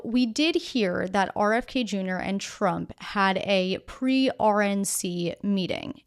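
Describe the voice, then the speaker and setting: Female news podcast host, smooth and clean tone, medium pitch, measured scripted delivery with conversational asides. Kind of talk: scripted news narration with casual explanatory asides.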